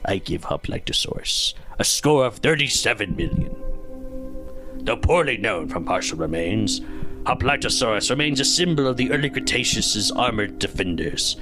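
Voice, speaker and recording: A man's voice: lowish voice, animated, moderate pace, lightly acted. A man voicing a bombastic, reverent Hoplitosaurus warrior who narrates a farcical epic audiodrama with overly dramatic solemnity. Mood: triumphant. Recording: loud music under the voice. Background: loud music.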